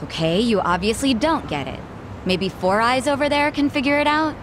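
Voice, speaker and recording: A woman's voice: fairly high pitch, expressive, normal speed, background noise, natural voice. An actress playing a blunt, sarcastic young woman bartender in a video game. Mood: curt, irritated. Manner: brusque, sarcastic. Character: blunt, prickly young female cabaret bartender. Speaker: voice actor in a game. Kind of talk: English video game cutscene dub. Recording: music behind the voice.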